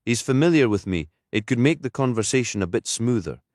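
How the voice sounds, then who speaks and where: Loyal local male police sidekick, done by a male narrator, earnest, helpful, pitched up, audiobook crime drama. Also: animated, brisk, a little mellow, dry recording, studio quality, lightly acted. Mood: offering help. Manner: eager to help, protective.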